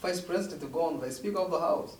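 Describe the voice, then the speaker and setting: A man's voice: a little high, fairly quick, a little mellow. Talkative man explaining. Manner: talkative, explains by comparisons, repeats words. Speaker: mosque community member. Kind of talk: casual interview explanation. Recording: roomy, distant mic, faint noise.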